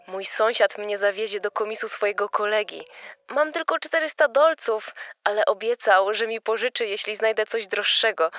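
A young woman played by an actress talks casually and practically, trusting and unworried, in a mid-pitched voice. It is a true crime podcast reenactment. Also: fairly bright tone, close to an everyday voice.

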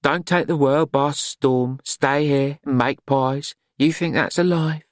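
A flamboyant, cynical fashion man with a sharp tongue, acted by a male narrator in a storybook. He is sardonic and exclamatory, pitched up, in a dry recording. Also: steady tone, slow pace, no echo. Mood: scornful.

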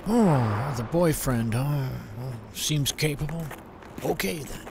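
A man playing a gruff, wry uncle who serves as the muscle in an audio drama. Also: a little high, lively intonation, slow, background noise.

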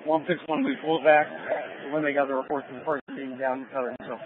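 A man's voice: mid-range voice, fairly even intonation, brisk. A man talking in police radio traffic. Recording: dull lo-fi police radio feed.

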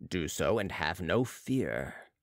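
A man voicing a serene, commanding mesmerist with calm mystical authority in an audiobook. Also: sits low, slow, no echo, studio quality, pitched below the performer's own voice, exaggerated voice. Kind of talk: audiobook character voice.

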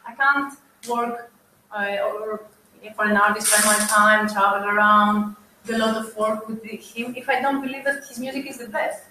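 Woman panelist, bright tone, rambling, far off in a reverberant hall. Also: a little high, fairly even intonation, normal speed, slight background noise. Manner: rambling, restarts and fillers. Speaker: panel speaker, music manager. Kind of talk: panel discussion. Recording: very echoey distant live feed with faint ambience.

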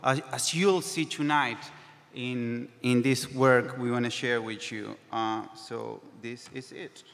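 A man's voice: mid-range voice, steady tone, slow pace, vocal fry, fairly bright tone, slight background noise. Non-native man speaking on a hall stage. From Brazil or Argentina. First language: Spanish or Portuguese. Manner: hesitant, many ums and restarts. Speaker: creative director. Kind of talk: conference talk. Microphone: stand mic. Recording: reverberant hall.

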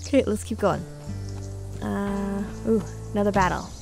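A woman commentates on a video game in a casual, chatty way. Her medium-pitched voice has a noticeable creak, and she thinks out loud as she goes. Music plays behind her. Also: fairly even intonation, normal speed.

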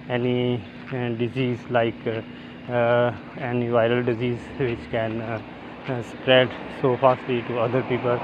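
A man with a Pakistani accent talking to viewers in a halting, hesitant way, in short broken phrases, as he vlogs outdoors on a walk. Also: mid-range voice. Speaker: vlogger. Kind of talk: casual walk-and-talk monologue to viewers. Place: outdoors, walking on university grounds. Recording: muffled outdoor audio with clear noise.

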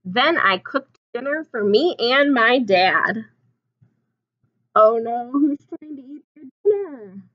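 A woman in her twenties reading a picture book aloud like a teacher, expressively, pausing to put simple questions and explanations to young children. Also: fairly high pitch, animated, muffled recording. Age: 20s. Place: small classroom, indoors.

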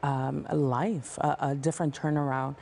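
A woman's voice: sits low, gravelly, a little hiss or hum. A woman in her thirties from El Salvador, a nonprofit founder talking passionately as a guest in a TV news studio on a lapel mic. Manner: passionate, fast-flowing, animated hand gestures. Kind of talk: TV studio interview.

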